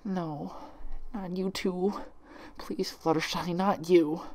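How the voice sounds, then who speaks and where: A man's voice: high voice, fairly even intonation, slow, a little mellow, pitched above the performer's own voice. A man voicing a distraught young female unicorn who stammers and swings between pleading and fury in a story reading. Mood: desperate, pleading. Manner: stammering, desperate.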